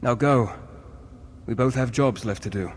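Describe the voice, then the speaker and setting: An actor playing a noble, earnest war leader in a fantasy video game, formal and dramatic in delivery. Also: male voice, mid-range voice, unhurried, in a large hall, slight background noise, natural voice. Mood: solemn, reassuring.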